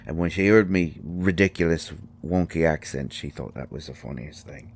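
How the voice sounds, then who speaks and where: An actor playing a grieving, gruff and defensive fairy husband in a roleplay audio drama, speaking haltingly and with raw emotion. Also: male voice, extremely low pitch, exaggerated voice. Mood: wistful, grieving. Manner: halting, emotional, swinging from snappish outbursts to quiet murmurs.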